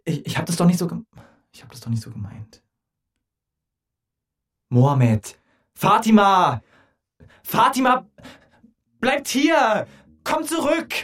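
A man's voice: high-pitched, very animated, slow, pauses often, a bit bright, heavily acted. A young man acting a provocative, stubborn teenage boy in a theatrical, defiant way in a German radio drama. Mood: desperate.

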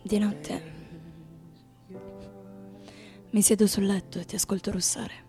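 An actress playing a weary, tender lover in an intimate literary radio drama, with a gravelly, creaky voice. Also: female voice, mid-range voice, brisk, mellow, close to an everyday voice. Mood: hushed, tender. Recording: voice over faint-to-clear music bed.

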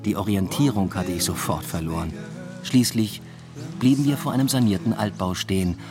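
A man's voice: lowish voice, moderate pace, music in the background, natural voice. An actor playing a reserved young man who tells of a new love affair in a radio drama, understated and matter-of-fact. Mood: reflective, understated. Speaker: narrator and lead character. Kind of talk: first-person radio drama narration.

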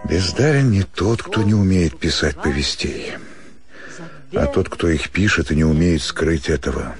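A dry, scornful man in a radio drama. His voice is very deep, and he delivers his judgements in a measured, sardonic way. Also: lively intonation. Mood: quiet disdain. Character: dry, disdainful man passing cutting judgement. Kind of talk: Russian radio literary drama.

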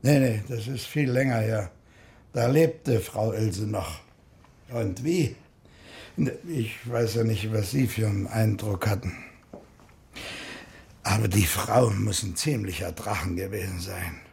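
An actor playing an older, opinionated ship-club member in a radio drama, talking colloquially in a gravelly voice. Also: male voice, lowish voice, darkish tone. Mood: confiding, bitter. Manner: colloquial, halting asides. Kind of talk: naturalistic radio drama acting.

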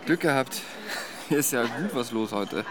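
Sardonic, very animated actor as grumbling man. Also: medium pitch, normal speed, crowd noise, natural voice. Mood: joking, casual. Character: grumbling, joking male partner of an expectant woman. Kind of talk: naturalistic radio drama dialogue.

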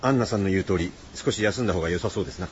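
A man's voice: sits low, racing, close to an everyday voice. An actor playing a courteous, pedantic scholarly man in a radio drama, explaining things in polite, old-fashioned phrases. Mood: grave, explanatory. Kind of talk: Japanese radio drama acting. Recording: dull audio with faint background noise.

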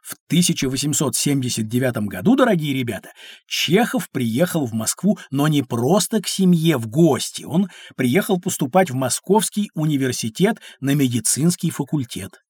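Знающего дяди с хрипловатым голосом. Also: male voice, medium pitch, big pitch swings, moderate pace, a little mellow, breathy edge, no room sound, clean studio sound. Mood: explaining, reassuring. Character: kindly, knowledgeable uncle explaining history to children. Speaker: storyteller guide for children. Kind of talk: scripted educational narration.

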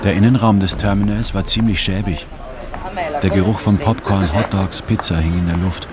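An actor playing a disoriented, brooding man who narrates a literary radio drama in an introspective, measured way. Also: low-pitched, fairly even intonation, over a noisy crowd, lightly acted. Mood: detached, observant. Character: disoriented, brooding male first-person narrator adrift in a crowd. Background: prominent music.

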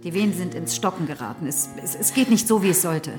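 An actress playing a stern, brisk mother in a radio drama, admonishing and clipped. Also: fairly low pitch, animated, close to an everyday voice. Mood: stern worry. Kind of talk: German radio drama acting. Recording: music behind the voice.

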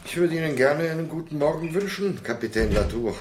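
An actor in a German radio play, playing a demanding, displeased army colonel with a commanding, sardonic manner. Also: male voice, a little high, lively intonation, a little fast, a little mellow, a little hiss or hum, close to an everyday voice. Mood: stern.

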